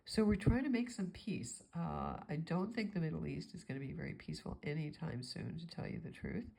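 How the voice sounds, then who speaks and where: A woman's voice: low-pitched, expressive, brisk, creaky, dark tone. A woman astrologer with a stuffy nose from a cold, talking through a forecast in a meandering, thinking-aloud way.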